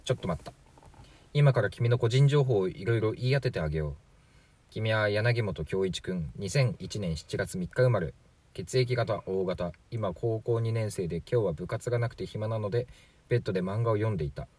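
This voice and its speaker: Radio drama role: male actor as breezy, flippant man in his forties from the future, lower-pitched, dry recording. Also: normal speed, darkish tone, slightly rough, dry recording. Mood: calm, matter-of-fact. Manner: chatty, glib, casually matter-of-fact. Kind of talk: amateur radio drama acting.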